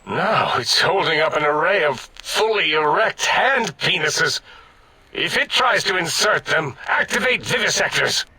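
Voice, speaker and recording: A man voicing an irritable, bombastic mad-scientist brain in a machine for a video game, over-enunciating with indignation and a harsh rasp. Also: fairly high pitch, expressive, measured pace, bright, forceful tone, a little hiss or hum, heavily acted. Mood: alarmed. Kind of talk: theatrical comic video game acting.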